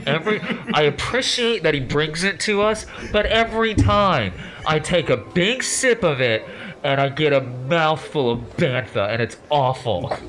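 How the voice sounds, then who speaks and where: A man's voice: a little high, expressive, measured pace, fairly bright tone, pitched above the performer's own voice, poor audio. A man voicing a chatty, petty Imperial guard who grumbles conversationally in a tabletop game. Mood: grumbling.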